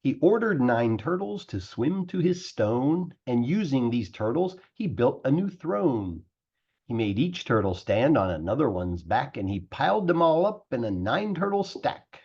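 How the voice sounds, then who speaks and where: Animated man reading a storybook. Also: medium pitch, unhurried, slightly rough, muffled recording. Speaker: English teacher reading aloud. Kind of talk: expressive storybook narration.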